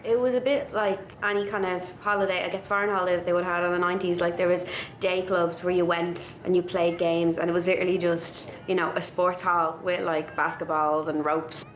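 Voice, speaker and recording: A woman's voice: on the low side, level tone, brisk, hardly any pauses. A woman with a Dublin Irish accent, casually recalling the past in a documentary interview. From Dublin area, Ireland. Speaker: documentary interviewee, local resident. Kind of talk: casual recollection. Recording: echoey room, slight background noise.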